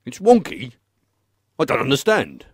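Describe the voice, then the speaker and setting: A man's voice: mid-range voice, wildly swooping pitch, measured pace, slightly rough, no echo, studio quality, full character voice. A man playing an obliging, bemused maintenance worker in a comic radio play, polite, hesitant and puzzled but eager to please. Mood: puzzled, willing.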